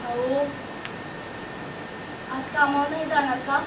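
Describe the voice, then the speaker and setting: A woman's voice: sits high, fairly even intonation, slow pace. A woman playing a caring village wife who pleads with and coaxes her grieving husband in rural Maharashtrian Marathi, in a stage drama. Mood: gently coaxing. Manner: coaxing, pleading. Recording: distant echoey stage recording, loud noise.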